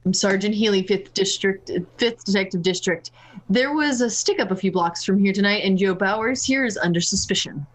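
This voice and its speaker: Woman playing a brisk, commanding police sergeant. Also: mid-range voice, lightly acted. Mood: brisk, suspicious. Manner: commanding, matter-of-fact. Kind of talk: old-time radio crime play acting.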